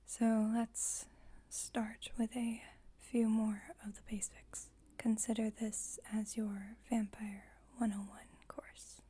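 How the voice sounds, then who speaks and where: A woman playing a composed, formal vampire coven leader in an ASMR roleplay, whispering softly in a measured, instructive, gently wry way. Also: fairly high pitch. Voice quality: breathy, whispered, soft.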